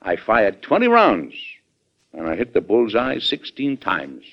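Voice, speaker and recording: An actor playing a firm, shrewd American president in an old radio drama, talking bluntly and assertively with an American accent. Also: male voice, lowish voice, huge pitch swings, measured pace, vocal fry, heavily acted. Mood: insistent, fatherly. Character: firm, plain-spoken American male president, shrewd and commanding. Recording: dull, band-limited vintage radio recording.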